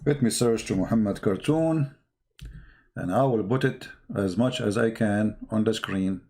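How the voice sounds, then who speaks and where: A man's voice: fairly low pitch, a little slow, a little mellow. Emphatic man, non-native English speaker. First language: not English. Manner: emphatic, repetitive, rhetorical questions. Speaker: online commentator. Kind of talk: impromptu polemical commentary.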